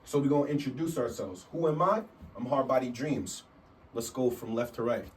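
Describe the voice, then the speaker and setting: A man's voice: mid-range voice, moderate pace, recorded from a distance, a little hiss or hum, poor audio. A man from Canada leading a hip hop class, in a laid-back teaching manner full of "you know what I'm saying". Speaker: hip hop workshop host. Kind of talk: casual teaching talk.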